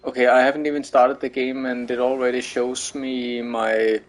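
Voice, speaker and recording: A man's voice: medium pitch, level tone, normal speed. A man from Denmark with a Danish accent, commenting on a game he is playing, hesitant and full of fillers. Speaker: streamer. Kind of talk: let's play commentary.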